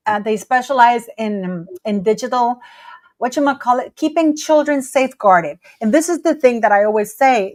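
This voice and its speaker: A woman hosting an interview, asking questions and reacting with disbelief. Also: a little high. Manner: exclaims in disbelief, stumbles over questions. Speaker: podcast host. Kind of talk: casual interview chat.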